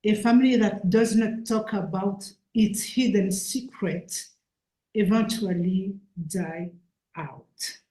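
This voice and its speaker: A Rwandan woman speaks slowly and earnestly, her first language Kinyarwanda, often pausing to reach for an English word. Her voice is soft and mellow, and it rings in an echoey room. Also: medium pitch. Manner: earnest, searching for English words. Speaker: invited speaker. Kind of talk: halting public talk.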